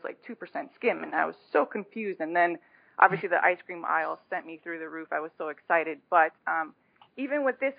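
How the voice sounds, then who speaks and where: A woman's voice: lowish voice, vocal fry. On a thin, phone-like connection with a dry, close sound, a woman from Romania speaks as an interview guest. She tells personal stories in a casual, conversational style. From born in Romania, moved to the United States aged seven. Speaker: podcast guest, small business co-founder. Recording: tinny, phone-like line with little echo.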